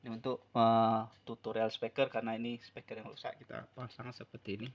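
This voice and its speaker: A man's voice: medium pitch, completely flat delivery, a little fast, lo-fi sound, slight background noise. A man gives an unscripted hands-on repair tutorial, explaining step by step and often repeating himself. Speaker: phone repair tutorial presenter.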